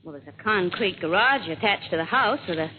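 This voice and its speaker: A woman's voice: on the low side, animated, a little fast, phone-quality sound, lightly acted. Actress as a wry, skeptical girl reporter, noisy radio. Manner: dry, wry, questioning. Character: sardonic, skeptical young female newspaper reporter sidekick. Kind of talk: 1940s radio drama acting. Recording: noisy, band-limited old radio recording.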